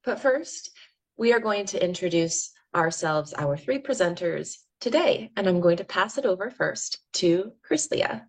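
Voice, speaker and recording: A woman hosting a webinar, giving an upbeat, welcoming and smoothly read introduction. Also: on the low side, expressive, measured pace. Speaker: webinar host.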